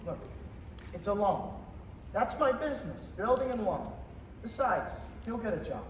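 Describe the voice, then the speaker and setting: A man's voice: high voice, big pitch swings, unhurried. An actor playing an earnest, generous small-town businessman with an emphatic, stammering delivery in a stage radio play. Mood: earnest concern. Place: high school theater stage. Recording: echoey distant stage recording, faint noise.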